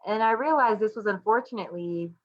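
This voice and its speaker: A woman from the United States giving a reflective personal piece in a thoughtful, husky voice with pauses. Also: medium pitch, dull audio.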